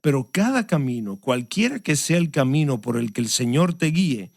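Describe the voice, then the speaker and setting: A man who is a pastor, giving a sermon and answering interview questions in an earnest, measured way. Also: medium pitch, big pitch swings, creaky, no echo, clean studio sound. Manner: earnest, measured preaching delivery.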